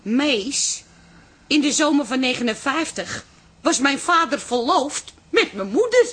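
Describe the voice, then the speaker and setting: Radio drama: sharp-tongued, exclamatory middle-aged wife, high register, very animated delivery. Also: female voice, a little slow, full character voice. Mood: shocked, upset. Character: indignant, stubborn grown daughter and wife, Tooske. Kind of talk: naturalistic Dutch radio drama acting.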